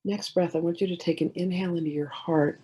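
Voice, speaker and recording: A woman leading a guided meditation in a gentle, soothing way, giving slow instructions with many pauses. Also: lowish voice, fairly even intonation, husky, rough recording.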